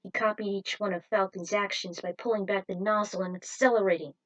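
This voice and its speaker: A woman's voice: mid-range voice, steady tone, a little fast, rough recording. Woman narrating an amateur story. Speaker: narrator reading own fan fiction.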